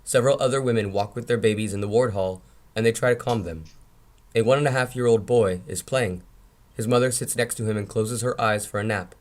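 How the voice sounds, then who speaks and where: A man's voice: lowish voice, fairly quick. A man reading screenplay scene descriptions as narrator in a table read.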